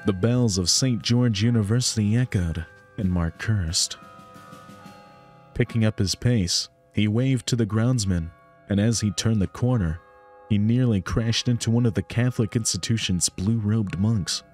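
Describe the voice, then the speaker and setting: A man's voice: on the low side, unhurried, a little mellow. A young man narrating a visual novel as a storyteller. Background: music.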